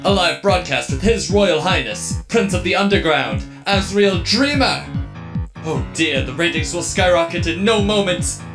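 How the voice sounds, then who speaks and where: Flamboyant robot TV presenter, showy and gossipy, teasing, under prominent music. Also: male voice, sits high, expressive, a little slow. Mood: showy announcing. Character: flamboyant, gossipy male robot TV show host. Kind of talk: theatrical broadcast announcer, fan dub.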